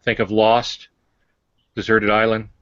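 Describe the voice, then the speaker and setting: A man with a fairly low voice explains things in casual podcast talk, pausing and feeling his way through sentences with dry humor. The sound is close and dry, though somewhat dull and lo-fi. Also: level tone, fairly bright tone, clear, resonant tone, no room sound. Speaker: fiction writer, podcast panelist. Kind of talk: casual talk on writing craft.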